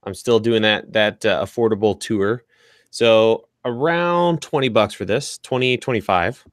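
A man's voice: fairly low pitch, unhurried, no room sound, clean studio sound. A man in his thirties in headphones at a desk mic, talking easily and laughing often in a home workshop. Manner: laid-back, easily laughing. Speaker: co-host. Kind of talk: casual chat on a video call. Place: home workshop with tool wall. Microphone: headset with desk mic.

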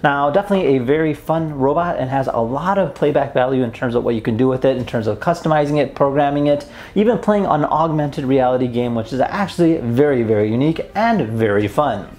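A man's voice: medium pitch, animated, a little fast, hardly any pauses, darkish tone. A young man giving casual, slangy and enthusiastic gameplay commentary as a toy reviewer. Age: young adult. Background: game music.

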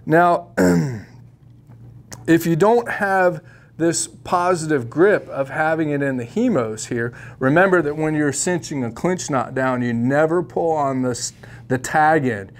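A man's voice: a little high, slow. A middle-aged man giving a casual, opinionated fly fishing tutorial to camera in a shop studio, with plenty of ums and uhs, on a lapel mic.

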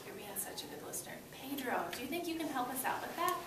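A young woman with a high voice talks to young children as their teacher. She is expressive and encouraging, phrasing things for little listeners. The sound is roomy and noisy, picked up from across a classroom. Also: fast talker, recorded from a distance. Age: young adult. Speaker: elementary school teacher. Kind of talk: interactive read-aloud to young children. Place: classroom with children seated on the floor. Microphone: far camera.

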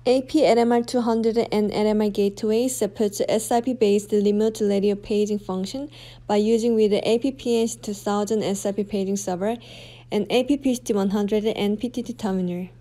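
A woman reading scripted product demonstration narration in a measured, formal manner. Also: medium pitch, steady tone, mellow.

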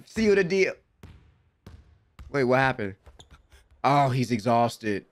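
A young American man giving excited, slangy, exclamatory live reaction commentary at a close desk mic in a room at home. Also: medium pitch, slow. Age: young adult. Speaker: reaction video host. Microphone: close desk microphone.

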